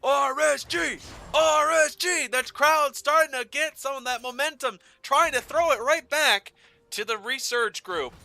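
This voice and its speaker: A man doing play-by-play esports commentary, excitable and playful, with dramatic phrasing and casual banter. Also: very high voice, a little slow, vocal fry.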